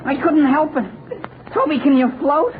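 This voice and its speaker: A man's voice: extremely high pitch, very animated, unhurried, crowd noise, heavily acted. A hapless, scheming American teenage boy in a vintage radio comedy. He sounds anxious and earnest. The recording is narrow and phone-like, with heavy noise. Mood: earnest, flustered. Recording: noisy, narrow-band old radio recording.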